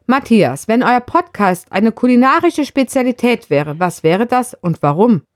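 A woman's voice: on the high side, expressive, unhurried, no echo, clean studio sound. A woman reading out scripted questions to podcast hosts.

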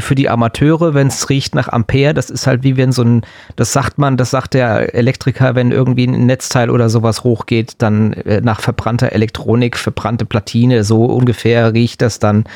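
A man's voice: medium pitch, fairly even intonation, normal speed, vocal fry, darkish tone, no echo, studio quality. A man co-hosting a podcast, chatting casually and answering questions in a rambling, joking way. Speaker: podcast and radio co-host.

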